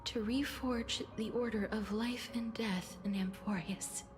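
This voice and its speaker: A woman playing a gentle, earnest young heroine in a fantasy video game, speaking politely in a hushed voice. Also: on the high side, fairly even intonation, a little slow, close to an everyday voice. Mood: solemn, resolved. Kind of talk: English video game voice acting. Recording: game dialogue under loud music.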